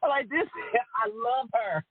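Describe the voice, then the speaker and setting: Deep-voiced woman on a phone line. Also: takes it slowly, slightly rough, no echo. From Rome, Georgia, US. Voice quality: deep alto voice. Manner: relaxed, laughs often. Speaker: guest singer and entertainer. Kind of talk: casual chat. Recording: dry phone-line call audio.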